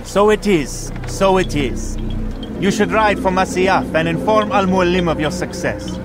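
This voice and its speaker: A kindly, philosophical confidant in a game cutscene, with a fairly high male voice. He counsels gently and in measured phrases over loud music. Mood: welcoming. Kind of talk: dramatic video game cutscene acting.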